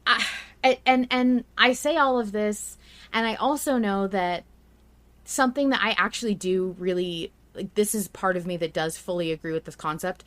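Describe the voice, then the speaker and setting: A woman's voice: mid-range voice, moderate pace, a bit bright. A woman hosting a podcast in casual debate, speaking in an emphatic, opinionated way with some swearing. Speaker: podcast host.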